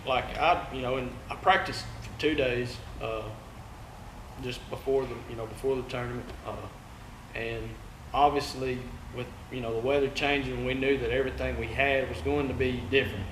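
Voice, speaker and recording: A man's voice: medium pitch, steady tone. Laid-back East Texas man, echoey room. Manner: laid-back, halting with many fillers. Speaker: guest angler. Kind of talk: casual chat. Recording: echoey room with loud ambient noise.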